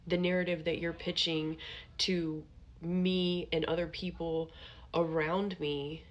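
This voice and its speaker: A young woman with a sniffly, congested voice from allergies, giving a casual tarot reading to her viewers, chatty and reassuring, with many "like" and "okay" tags. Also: low-pitched. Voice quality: nasal. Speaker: tarot reader.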